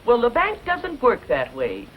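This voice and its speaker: An actress playing a stern, no-nonsense banker in a 1940s western style, brisk and scolding. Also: female voice, on the high side, wildly swooping pitch, narrow, tinny audio. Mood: stern, businesslike. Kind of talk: 1940s western film acting. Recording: hissy old film soundtrack.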